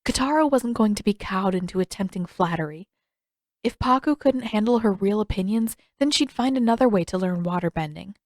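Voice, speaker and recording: A woman narrates a story from a script. Her voice sits at a medium pitch. The recording is dry and close, in audiobook style. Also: expressive, brisk, no room sound.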